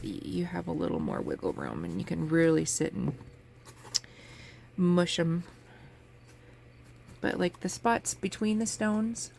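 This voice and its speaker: Sniffly, gravelly-voiced woman crafting. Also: lowish voice. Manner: chatty, relaxed, explains as she works. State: sniffly from a cold. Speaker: crafting channel host. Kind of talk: casual craft tutorial. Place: home craft table with a fan running. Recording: faint fan noise in background.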